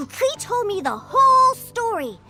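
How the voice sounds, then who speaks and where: A woman's voice: extremely high pitch, very animated, slow, creaky, heavily acted. A woman playing a feisty, indignant young catgirl in a video game, scolding in a huffy, dramatic way. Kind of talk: video game character acting. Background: background music.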